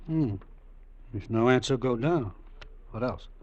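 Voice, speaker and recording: An actor playing a shrewd, controlled crime boss in an old radio drama, speaking in terse, deliberate commands. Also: male voice, medium pitch, a little mellow, dull audio, close to an everyday voice. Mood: calculating, commanding. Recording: noisy old radio recording.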